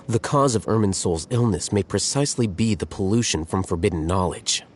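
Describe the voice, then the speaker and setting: A man's voice: lowish voice, expressive, a little mellow, slight background noise, natural voice. A man voicing a composed scholarly strategist. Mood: calm. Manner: measured, deliberate, explanatory. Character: calm scholarly male strategist, measured and composed. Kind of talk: video game dialogue. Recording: game audio with faint music.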